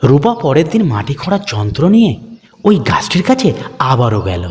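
A man's voice: mid-range voice, wildly swooping pitch, fairly quick, a little mellow. A man narrating a scripted horror cartoon story for children as its storyteller. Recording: long hall reverb over music.

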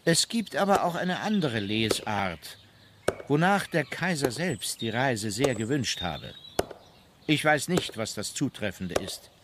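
An actor playing an observant naval courtier recalling events like diary entries, in a measured, matter-of-fact way. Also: male voice, mid-range voice, sing-song, noisy background. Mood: sober. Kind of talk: diary-like recollection in a docudrama.

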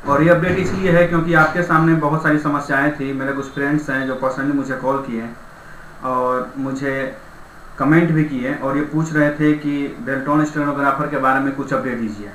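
A man's voice: medium pitch, steady tone, moderate pace, a bit bright. A young man giving an informal update to online viewers as the presenter. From India. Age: young adult. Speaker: YouTube exam-update presenter. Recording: roomy echo with faint background noise.

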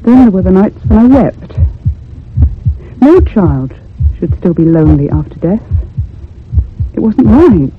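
Troubled ghost story narrator, high voice, measured and earnest, tinny old radio sound. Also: male voice, sing-song. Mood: uneasy, sorrowful. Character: troubled, sensitive narrator haunted by a child ghost. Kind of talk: dramatic radio horror narration. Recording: tinny, narrow old radio recording with hum.

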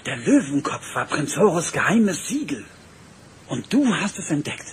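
Patient archaeologist uncle; explains calmly, slightly raised pitch, faint noise. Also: male voice, moderate pace. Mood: explaining. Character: adult male archaeologist, patient uncle, knowledgeable. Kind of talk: German radio play dialogue.

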